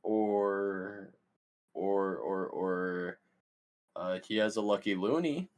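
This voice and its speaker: A man playing an awkward, excitable young stoner, cocky and bumbling, in a comedy script read. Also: low-pitched, drawn-out words, clear, resonant tone, heavily acted. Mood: awkward wheedling. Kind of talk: comedy screenplay table read.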